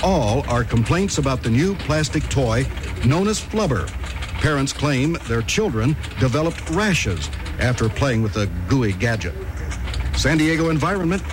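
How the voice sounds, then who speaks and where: 1960s American radio newsman, noisy archival recording. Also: fairly high pitch. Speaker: radio newscaster and announcer. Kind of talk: scripted news reading, 1960s radio delivery.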